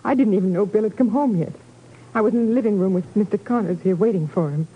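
A woman playing a distraught, earnest new widow, speaking haltingly in a 1950s radio drama. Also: medium pitch, slightly rough, lightly acted. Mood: distraught, bewildered. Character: distraught, bewildered newly widowed young wife. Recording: tinny narrow old radio recording.

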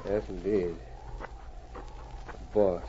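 An actor playing a firm, steady Mountie sergeant with a measured, authoritative way of talking in an old radio drama. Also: male voice, sits low. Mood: calm, firm. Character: firm, steady male Mountie sergeant of the gold-rush Yukon. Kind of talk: 1940s radio drama acting. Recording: muffled, noisy old radio recording.

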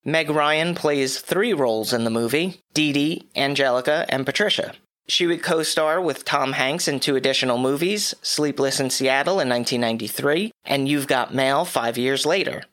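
Bright-voiced, slightly hoarse male podcast host. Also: mid-range voice, moderate pace. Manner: dry, wry asides. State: hoarse from a cough. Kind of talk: scripted solo podcast review.

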